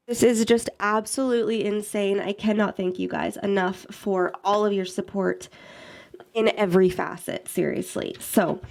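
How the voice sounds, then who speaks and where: A young woman in her mid-twenties talks to camera in a friendly, chatty way, her voice a little congested from a head cold. Her pitch sits in an ordinary middle range, and there is a gravelly fry at the ends of her phrases. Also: a little mellow. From Maine, US. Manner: chatty and effusive, thanks viewers often. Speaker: budgeting YouTuber. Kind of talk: casual chat to camera.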